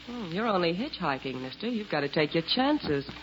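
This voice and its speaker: An actress playing a bold, taunting nightclub singer in a 1940s radio melodrama, with a challenging, dramatic delivery. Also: female voice, on the low side, sing-song, normal speed. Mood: teasing. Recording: dull vintage radio recording with noise.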